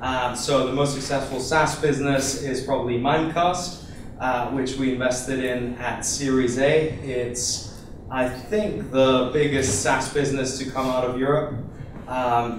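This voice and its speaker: A young man from Iceland giving a relaxed investor pitch on a conference stage with a handheld mic, full of fillers and restarts. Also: a little hiss or hum. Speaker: venture capital investor. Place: conference room with seated audience. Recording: echoey room, voice from far off.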